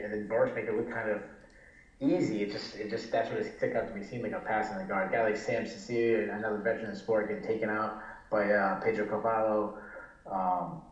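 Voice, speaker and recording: A man's voice: on the low side, steady tone, quick pace. A man with a Rhode Island accent talks casually as a podcast guest, rambling with fillers and restarting his sentences. His voice bounces around an echoey room. The sound is dull and lo-fi, with little top end. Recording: echoey, dull lo-fi remote audio.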